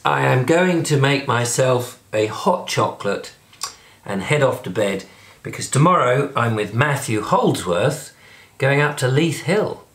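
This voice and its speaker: A man from England with a hoarse, recovering voice, chatting casually in a rambling video diary. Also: mid-range voice, fairly bright tone. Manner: rambling, chatty, self-deprecating. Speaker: video blogger. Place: indoors at home and in a flat.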